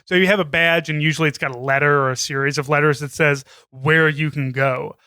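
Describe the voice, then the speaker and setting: A man with a bright, forceful voice trades jokes as a podcast co-host, animated and loose, swearing now and then. He sits close to the mic in a dry room with no echo. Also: fairly high pitch, fairly quick, clean tone. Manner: jokey, animated, swears casually. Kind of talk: casual chat.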